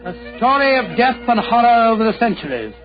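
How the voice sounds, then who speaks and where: A man's voice: very high voice, big pitch swings, fairly quick, faint music behind. A man playing a genial old British retired doctor, telling stories in an avuncular, theatrical 1940s radio style. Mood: grave, dramatic. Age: older. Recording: noisy, muffled, crackly 1940s radio recording.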